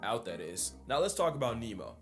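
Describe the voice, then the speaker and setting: A man delivering scripted comedic science narration in a slangy, deadpan, wisecracking manner. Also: mid-range voice, a little fast. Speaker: video essay narrator. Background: music.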